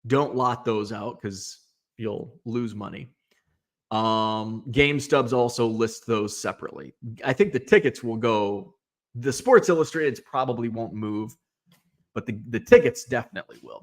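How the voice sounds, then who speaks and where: Rambling man in casual chat. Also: medium pitch. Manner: rambling, restarts, thinking aloud. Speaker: sports card seller and show host. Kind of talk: casual solo talk.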